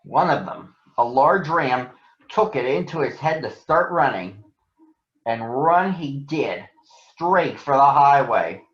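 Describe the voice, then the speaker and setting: A man reads a children's storybook aloud. His delivery is slow and very animated, with wide swings in pitch. The recording is dull and echoes around the room. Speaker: storybook reader.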